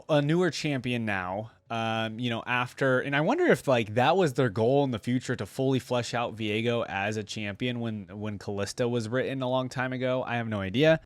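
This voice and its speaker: Guy doing chatty reaction commentary, rambly, says like a lot, medium pitch, dry close sound. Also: clean tone, no echo. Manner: rambling, conversational, filler-heavy with "like". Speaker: reaction video host. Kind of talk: casual off-the-cuff commentary to viewers.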